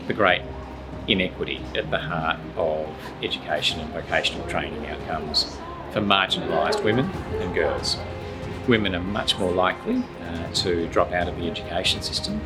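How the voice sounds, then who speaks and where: A man speaking for a foundation in a measured, formal interview statement. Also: low voice. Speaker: foundation representative. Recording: loud music behind the voice.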